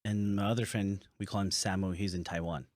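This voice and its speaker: A young man hosting a podcast, relaxed and rambling, laughing easily as he talks. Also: low-pitched, brisk, dry recording, studio quality. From born in Africa, partly raised in Nepal. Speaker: podcast host. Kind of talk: casual chat.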